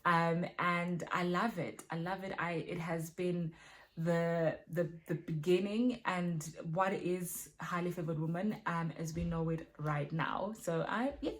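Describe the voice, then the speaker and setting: Chatty woman from Zimbabwe, lowish voice. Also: steady tone, unhurried. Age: adult with children. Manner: chatty, many fillers and tag questions. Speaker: vlogger and women's ministry leader. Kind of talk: casual self-filmed Q&A. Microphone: phone.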